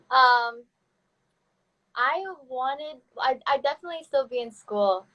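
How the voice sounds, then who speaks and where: Woman in her twenties, fairly high voice, relaxed livestream host on a phone, halting and casual. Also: muffled recording. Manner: relaxed, hesitant, with fillers and restarts. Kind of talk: casual live-stream chat. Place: home in the Philippines.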